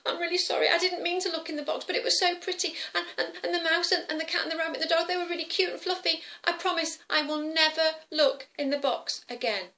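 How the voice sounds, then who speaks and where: Lady doing a little girl in a kids story, high bright voice, nosy and easily amazed. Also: steady tone, fast talker, hardly any pauses. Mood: guilty, pleading. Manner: breathless wonder, stretched-out exclamations. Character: curious, excitable little girl, easily amazed, a bit sneaky.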